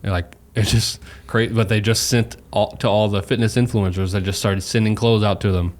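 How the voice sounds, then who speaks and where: A man's voice: on the low side, fairly even intonation, brisk. A man with an American accent, casually interviewing as a podcast host.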